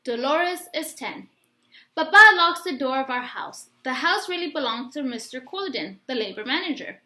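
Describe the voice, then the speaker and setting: A woman reading a picture book aloud in an expressive, instructive way, like a teacher addressing young listeners. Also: high voice, moderate pace. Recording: roomy, echoey room sound.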